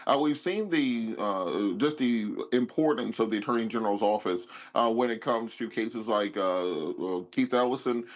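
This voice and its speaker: A man's voice: lowish voice, moderate pace, hardly any pauses. An American man speaking as a panelist, framing a question on a political discussion show. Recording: dull, lo-fi remote audio.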